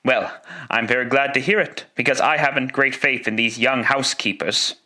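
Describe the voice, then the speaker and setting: A man playing a jovial, good-natured young gentleman with a hearty, animated manner in a classic radio play. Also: medium pitch, normal speed. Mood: cheerful, fond. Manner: hearty, animated, chuckling cheerfulness. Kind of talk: classic radio drama acting.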